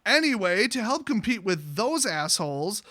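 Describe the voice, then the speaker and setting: Bright, high-voiced man ranting casually. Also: sing-song, normal speed, dry recording. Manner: sweary, sarcastic, blunt. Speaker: online vlogger telling a story, card seller. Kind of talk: casual rambling storytime rant.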